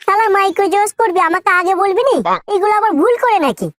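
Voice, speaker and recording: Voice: very high voice, quick pace, fairly bright tone, a little hiss or hum. A performer voicing a cocky, foul-mouthed teenage schoolboy in a comic cartoon, brash, slangy and exclamatory. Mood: annoyed. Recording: music behind the voice.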